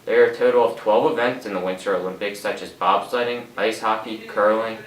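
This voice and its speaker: Low-voiced young man, distant echoey mic. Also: steady tone, brisk, crowd noise. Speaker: sports announcer in a student project. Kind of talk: scripted amateur radio sports report. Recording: echoey room, distant mic, faint noise.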